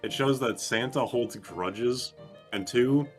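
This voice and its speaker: A man's voice: medium pitch, takes it slowly, soft background music. A man streaming a video game, giving casual commentary and chatting with some swearing.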